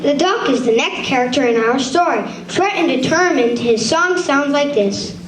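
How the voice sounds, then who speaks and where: A woman's voice: high voice, expressive, moderate pace, noisy background. A female narrator reading a scripted children's story aloud for a puppet show, with small stumbles. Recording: echoey room.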